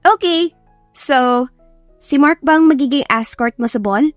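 A chirpy young Filipina best friend, as a woman narrator acts her in an audio drama, teasing and gushing. The voice is very high and dry, with music underneath. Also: unhurried, no echo. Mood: upbeat. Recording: music behind the voice.

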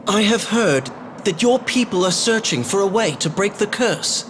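A man's voice: high voice, animated, moderate pace, noisy background. An actor playing a cursed young male rabbit-folk prince who speaks politely and earnestly. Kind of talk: video game cutscene acting. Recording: loud game music behind.

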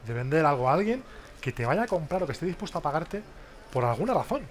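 Lively man leading a business workshop. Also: on the high side, fast talker, a little hiss or hum. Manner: lively, questioning the audience, many tag questions. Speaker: workshop speaker. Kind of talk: interactive business workshop talk. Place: large exhibition hall, small stage. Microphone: headset mic.

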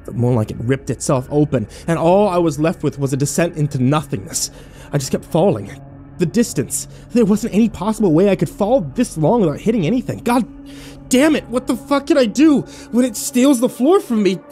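A man's voice: sits high, expressive, a little fast, warm tone, faint music behind. An actor playing a battered, bitter young man who narrates his nightmare in terse, sardonic, profane fragments for a horror audio drama. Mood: frustrated.

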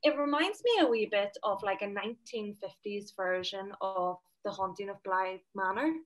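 A woman's voice: medium pitch, normal speed. A young woman with a Northern Irish accent chatting on a video call from home, enthusiastic and full of fillers. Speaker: panel guest. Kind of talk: casual video call chat. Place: home room indoors. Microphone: laptop webcam on video call.

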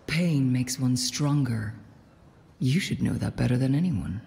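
Creaky, haughty villain. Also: female voice, extremely low pitch, lively intonation, unhurried, mellow, full character voice. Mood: cold. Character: cold, haughty female scientist villain. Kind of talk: video game character dialogue.

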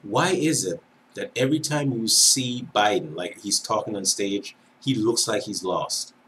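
A man's voice: on the low side, measured pace, a bit bright, slight background noise. Laid-back Antiguan man reacting on camera. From Antigua and Barbuda. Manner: chatty, laughing, asks viewers for agreement. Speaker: reaction creator. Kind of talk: casual reaction commentary. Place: small room indoors.

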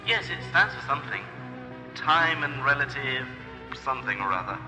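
An actor playing a friendly, naive young traveller in a sci-fi audio drama, eager and vague. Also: male voice, medium pitch, animated, normal speed, close to an everyday voice. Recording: distant voice, loud music behind.

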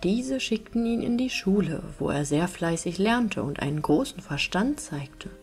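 A woman's voice: lowish voice, animated, a little slow. A woman reading a fairy tale aloud as scripted audiobook narration. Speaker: storyteller, audiobook reader. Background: soft music.

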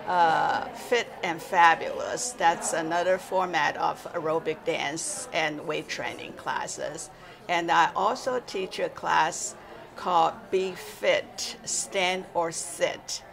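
Brief: woman in her sixties, exercise teacher, slow and gravelly, halting. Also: on the low side. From born in China, raised in Taiwan. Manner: halting, with frequent uh fillers and simple grammar. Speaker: exercise instructor. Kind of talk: casual interview. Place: indoor library room, seated in armchair.

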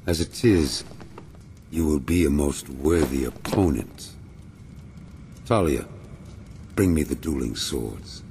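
Slow, deep, pompous old villain. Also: male voice, noisy background, exaggerated voice. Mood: regretful, formal. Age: older. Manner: pompous, measured, formal oratory. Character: grandiose, ancient male villain mastermind, courtly and fanatical. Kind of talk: theatrical video game villain monologue.

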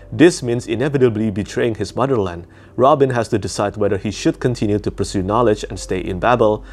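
A man's voice: fairly low pitch, darkish tone. A man in his thirties, a native Indonesian speaker, giving an earnest, enthusiastic scripted book review into a lapel mic at home. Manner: earnest, enthusiastic, precise diction. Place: home room with bookshelves. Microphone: small lapel mic.

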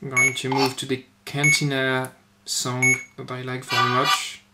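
A man giving an informal demonstration of his electronics project, speaking in a hesitant, halting way. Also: medium pitch, monotone. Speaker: electronics hobbyist presenting own board. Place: indoors at a workbench. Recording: noisy webcam-style audio with clicks.

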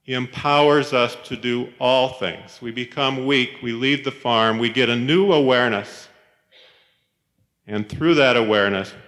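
A man, a professor, giving a reflective, sermon-like chapel talk in a measured storytelling manner, with pauses. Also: medium pitch, a bit bright. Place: large chapel, speaking to a congregation. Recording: reverberant chapel hall, 1990s cassette tape.

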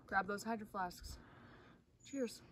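A woman's voice: mid-range voice, slow pace, recorded from a distance. A young woman co-hosting a casual hiking video outdoors, chatty and playful, picked up by a camera mic a few feet away. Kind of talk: casual outdoor vlog chat. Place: outdoors on a mountain trail.

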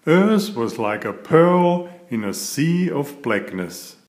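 A man reading a children's picture book aloud in a deliberate, expressive storytelling manner. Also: on the high side. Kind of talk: scripted children's storybook narration.

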